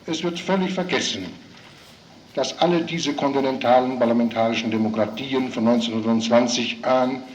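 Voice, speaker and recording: A man's voice: medium pitch, normal speed, slight background noise, poor audio. A man making an emphatic speech in an archive recording, listing his points one after another. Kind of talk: archival speech and radio report.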